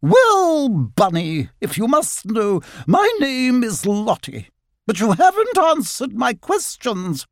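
A storybook otter lady played by a male narrator, vain and imperious. The voice sits high and swings grandly up and down, with haughty, self-admiring delivery in a very dry recording. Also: huge pitch swings, takes it slowly, no room sound, exaggerated voice. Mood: haughty, mocking. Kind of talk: storybook character voice.

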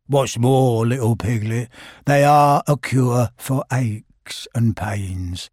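A man voicing a gloomy old grey donkey in a storybook reading, husky, mournful and deadpan. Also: medium pitch, slow, dry recording, clean studio sound.

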